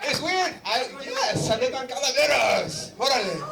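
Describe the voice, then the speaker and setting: Chatty high-voiced male stand-up comic. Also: normal speed, gravelly. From Southern California. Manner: animated, chatty, loose with fillers, banters with the crowd. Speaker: stand-up comedian. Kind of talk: stand-up comedy set. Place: comedy venue before a live audience. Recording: roomy live venue recording from a distance with crowd noise. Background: audience laughter.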